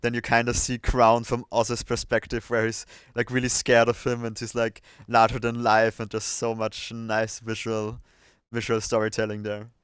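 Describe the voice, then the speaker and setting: A man's voice: medium pitch, monotone, moderate pace, a bit bright, clean tone, dry recording. A man hosting a casual livestream chat with effusive enthusiasm. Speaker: livestream host.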